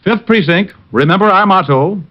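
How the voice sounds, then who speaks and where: An actor playing a flippant, playfully needling private detective in 1940s radio acting and first-person narration. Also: male voice, high voice, moderate pace, close to an everyday voice. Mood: flippant, teasing. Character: breezy, teasing, wisecracking male private detective. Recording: dull old radio recording, music behind.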